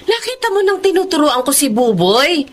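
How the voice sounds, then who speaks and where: An actress playing a patient, kind middle-aged mother who talks gently and turns firm when she scolds, in a radio drama. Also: high-pitched, sing-song, moderate pace. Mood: gentle. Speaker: mother in the family.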